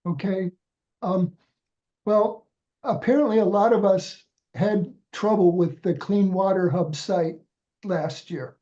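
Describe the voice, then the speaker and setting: A man's voice: sits high, fairly even intonation, slow pace, warm tone, lo-fi sound. A man with a head cold, congested, walking volunteers patiently through a website step by step on a video call, with frequent restarts. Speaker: volunteer trainer. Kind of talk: step-by-step instructional walkthrough.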